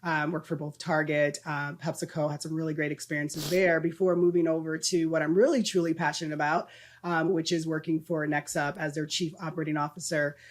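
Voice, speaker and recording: A woman's voice: deep voice, little pitch movement, fairly quick. A middle-aged woman from Southern California, a guest talking casually in a podcast interview, with many ums and restarts and easy laughter. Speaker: guest, nonprofit executive.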